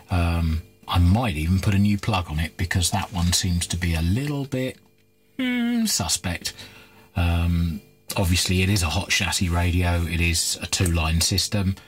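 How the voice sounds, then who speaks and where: Man, thirties, very low voice, rambling workbench chat, faint music behind. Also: expressive, measured pace. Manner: chatty, rambling with fillers and restarts. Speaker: electronics repair vlogger. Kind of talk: casual workbench vlog. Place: cluttered electronics workbench indoors.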